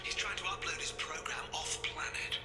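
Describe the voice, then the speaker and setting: An actor playing an earnest research scientist in a video game crisis, with a formal, pressing, breathy delivery. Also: male voice, a little high, fairly even intonation, normal speed, natural voice. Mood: urgent. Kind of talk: video game dialogue acting. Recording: echoey, loud music behind.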